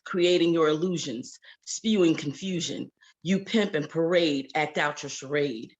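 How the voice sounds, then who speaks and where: A woman's voice: sits low, dull audio. A woman reciting spoken-word protest poetry in an emphatic, rhythmic and indignant way. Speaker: poet reading own work.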